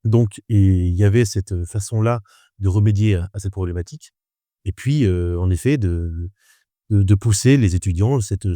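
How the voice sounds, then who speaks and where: A man in his thirties who teaches wine, talking in a measured, hesitant way with many fillers in a casual podcast chat. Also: deep voice, mellow, no echo, clean studio sound. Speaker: wine instructor.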